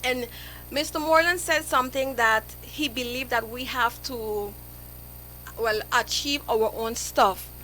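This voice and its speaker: A woman's voice: a little high, a little slow, bright, forceful tone, slight background noise, poor audio. A young woman, a guest on a live radio interview, speaking earnestly and pleading her point to the host.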